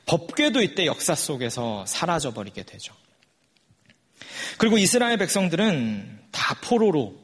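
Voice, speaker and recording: Male preacher, around forty. Very lively intonation, slightly high pitch, conversational sermon delivery with rhetorical questions.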